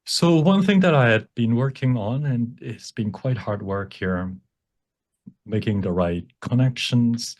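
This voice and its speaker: A man giving an informal workshop presentation with a demonstration, explaining in a halting way and often correcting himself. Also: mid-range voice. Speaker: workshop presenter and font developer.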